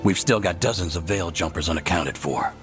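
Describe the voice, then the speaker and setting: Deep-voiced, blunt fantasy elf. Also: male voice, a little fast, fairly bright tone, soft background music. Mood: blunt, grim. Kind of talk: cinematic video game dialogue.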